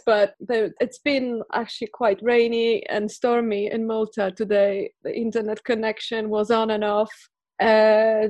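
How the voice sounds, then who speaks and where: A woman's voice: fairly high pitch, a little mellow, dry recording. A woman co-hosting a podcast as a spiritual counselor, talking playfully and laughing often. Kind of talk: casual podcast chat.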